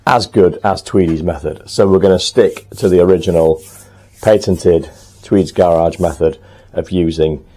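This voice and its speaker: A man talking casually to the camera in his home workshop, thinking aloud as he works, a little hoarse. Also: sits low, steady tone, normal speed, dark tone. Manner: chatty, thinks aloud while working, frequent ums. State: slightly hoarse, clearing throat. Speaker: motorcycle restorer and channel host.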